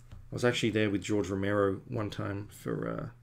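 Man, 40s, comic artist, rambling casual livestream chat, gravelly, low-pitched. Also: sing-song, normal speed. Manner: rambling, chatty, self-deprecating, reads out chat comments. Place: home studio at a drawing desk.

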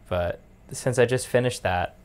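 A young man in his twenties, losing his voice, rambling in a relaxed way as host of his own podcast from his bed at home. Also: mid-range voice, slow. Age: 20s. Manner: relaxed, meandering, many fillers. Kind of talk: casual solo podcast monologue.

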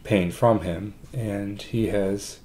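A man in his forties speaking haltingly and reflectively in a documentary interview. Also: fairly low pitch, fairly even intonation, mellow, a little hiss or hum. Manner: halting, hesitant delivery with long pauses. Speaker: adoptive parent, interviewee. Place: room at home, indoors.

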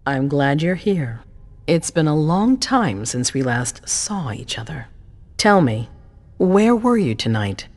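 Female vampire prince, husky, expressive, commanding, formal, cool, long hall reverb, video game cutscene. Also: deep voice, takes it slowly, full character voice. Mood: cool, probing.

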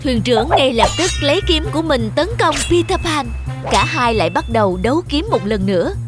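A woman narrates a scripted children's fairy tale as storyteller. Her voice sits a little high, with light music behind it. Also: lively intonation, fairly quick.

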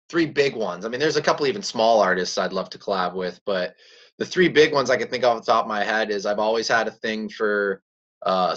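A raspy young man from Canada talks in a fairly low voice, relaxed and rambling as he answers questions. The sound is muffled and lo-fi, as if carried over a video call from a small room at home. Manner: relaxed, rambling, laughs easily. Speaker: country singer, guest. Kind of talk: casual interview chat. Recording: dull lo-fi video call audio.

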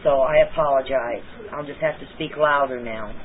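A woman chatting casually in an online study group, with a loud, carrying voice and her mic set far off on her desk. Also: a bass voice, moderate pace. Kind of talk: casual chat. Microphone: mic set far off at the end of her desk. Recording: tinny online voice chat.

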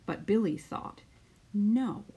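A woman in her fifties, a teacher, speaking to pupils and reading a children's picture book aloud with deliberate, emphatic phrasing. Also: mid-range voice, dark tone, slightly rough. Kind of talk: children's storybook read-aloud.

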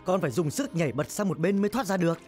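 A man voicing a patient, protective father fish in an animated film, speaking in an instructive, reassuring way. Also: high voice, very fast. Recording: music under the voice.

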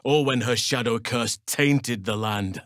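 An actor playing a gentle, solemn druid leader in a video game, speaking in a measured, formal, earnest manner. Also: male voice, medium pitch, dry recording, studio quality, close to an everyday voice. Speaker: video game companion character. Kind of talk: video game voice acting, formal fantasy dialogue.

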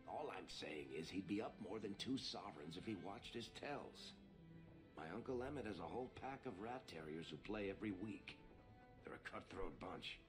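A dry-witted dwarf rogue in a fantasy video game. His voice is very low and his delivery is wry and teasing, like an easygoing storyteller, with clear music underneath. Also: animated, normal speed. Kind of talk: video game dialogue acting.

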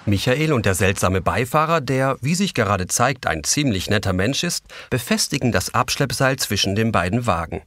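A man's voice: medium pitch, animated, moderate pace, few pauses, a bit bright, dry recording, studio quality. A man narrating a scripted children's story as the narrator of an audio drama.

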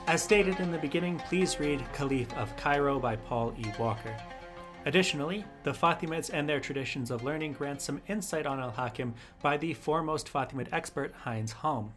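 A man's voice: fairly high pitch, fairly quick. A man reading his own essay aloud as scripted narration. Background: instrumental music.

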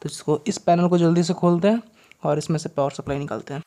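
A man's voice: on the high side, fast, warm tone. A man in his twenties in casual vlog talk to the camera, chatty and joking as he explains what he is doing, recorded on a phone held at arm's length in a small bedroom. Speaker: vlogger. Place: small bedroom at home, indoors.

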